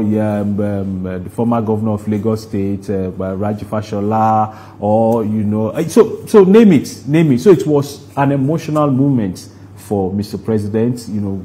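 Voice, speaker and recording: A man's voice: on the low side, warm tone, slight background noise. A man with a Nigerian accent speaking as a producer in an interview, recounting at length and repeating words for emphasis. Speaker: interview guest, stage play producer.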